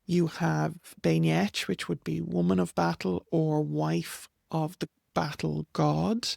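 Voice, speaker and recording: A woman's voice: low-pitched, little pitch movement, slow, creaky, darkish tone, dry recording, studio quality. A middle-aged Irish woman with an Irish accent, plainly and firmly explaining name pronunciations over slides. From Ireland. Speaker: author and teacher.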